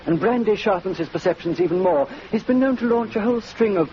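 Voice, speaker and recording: A man's voice: high-pitched, lively intonation, quick pace. A man playing a humorless, priggish and coldly sarcastic brother in a 1940s radio drama. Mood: dry sarcasm. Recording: muffled, noisy old radio recording.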